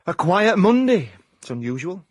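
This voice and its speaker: Husky, lilting Yorkshire private eye. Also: male voice, high voice, normal speed, dry recording, studio quality. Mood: wry, deadpan. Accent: South Yorkshire. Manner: deadpan, mock hard-boiled narration, clipped phrases. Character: wry former-miner private eye, hard-boiled pretensions, hapless. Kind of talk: comic radio drama acting.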